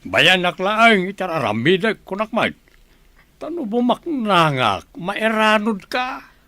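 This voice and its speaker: A man's voice: high voice, big pitch swings, slow, bright, forceful tone, no room sound, full character voice. A man with a Filipino accent playing a greedy, defiant village landowner in a radio drama. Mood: defiant, irritated.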